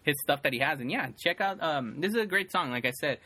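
A man's voice: on the high side, expressive, fast, sharp tone. A man co-hosting a podcast, chatting casually and rambling with lots of fillers and restarts. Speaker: podcast co-host. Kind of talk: casual chat.

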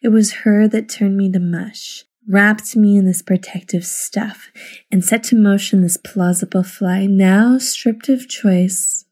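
A woman with a soft-edged, dark voice of medium pitch speaks very slowly. She is reflective and halting, as in intimate personal storytelling or poetry reading. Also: steady tone. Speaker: listener contributor.

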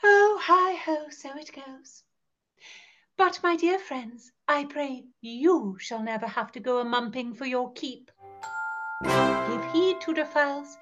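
A woman playing a gossipy, easily indignant Tudor gentlewoman, declaiming in archaic phrases for a scripted educational show. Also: high-pitched, slow, highs cut off, soft background music. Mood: grandly cheerful. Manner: archaic, declamatory, emphatic storytelling.

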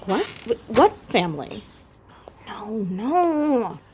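An actress playing a persistent, inquisitive teenage girl sleuth in an audio drama, earnest and halting as she probes. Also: a little high, big pitch swings, a little mellow, slight background noise, exaggerated voice. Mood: awkward.

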